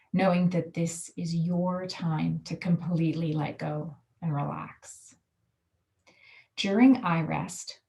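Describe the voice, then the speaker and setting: A woman guiding a relaxation meditation, giving calm, soothing, measured instructions. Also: on the low side, creaky. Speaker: yoga nidra guide.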